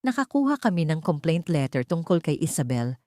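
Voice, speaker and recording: Lady reading as a serious cop in an audio drama, calm but firm, mellow tone. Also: sits low, a little fast, dry recording, clean studio sound. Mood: calm, determined. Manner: calm, determined, official. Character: male police officer, calm, firm, serious.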